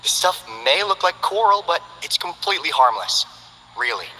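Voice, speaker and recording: An actor playing a smooth-talking, friendly male info broker, with teasing, salesman-like banter in game character voice lines. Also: fairly high pitch, big pitch swings, normal speed, bright, forceful tone, in a large hall, a little hiss or hum, exaggerated voice, low-quality recording. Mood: breezy.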